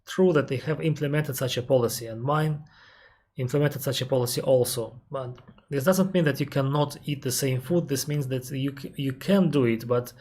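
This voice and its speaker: A man with a Russian accent, an airline captain on a live stream, reading out viewer questions and answering them carefully, often pausing with "uh" and restarting his sentences. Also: mid-range voice, darkish tone. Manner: careful, explanatory, pauses with frequent "uh" and restarts; reads viewer questions aloud, then answers at length.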